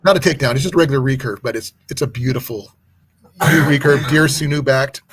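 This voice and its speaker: Male host, mid-pitched voice, rambling casual chat, faint background noise. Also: fast. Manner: rambling, restarts, excited about bows. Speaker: channel host.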